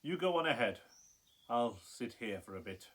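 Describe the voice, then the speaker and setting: A man's voice: mid-range voice, sing-song, normal speed, a bit bright, husky. A man playing a bitter, wrathful young scientist in a radio play, with intense, melodramatic delivery. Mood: subdued. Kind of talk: theatrical radio play acting. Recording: roomy echo.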